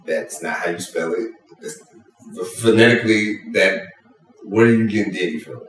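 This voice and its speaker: Deep-voiced man teasing in an echoey room. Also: normal speed, distant mic, a little hiss or hum. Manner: teasing, playful, laughs easily. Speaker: podcast host. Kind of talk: casual chat.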